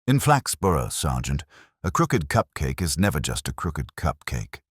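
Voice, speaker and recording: A man voicing a calm, kindly police inspector in a mystery story, speaking in a measured, formal, gently lecturing way. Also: very deep voice, slightly rough, dry recording, clean studio sound, exaggerated voice. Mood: measured, reflective.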